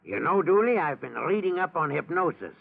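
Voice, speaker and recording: Comic hypnotist, commanding, gravelly, husky, vintage radio ad. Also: male voice, on the high side, very animated, fairly quick, full character voice. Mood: coaxing. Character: smooth-talking amateur hypnotist, commanding, comic. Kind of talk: old radio comedy advertisement. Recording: thin, phone-like old radio recording.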